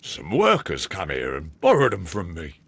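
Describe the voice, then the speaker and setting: Rustic railway hand for a game: bright, forceful, husky, chatty and colloquial. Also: male voice, deep voice, wildly swooping pitch, moderate pace, dry recording, heavily acted. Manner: folksy, rambling, colloquial speech. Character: cheerful rustic English railway worker fond of drink. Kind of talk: video game character acting.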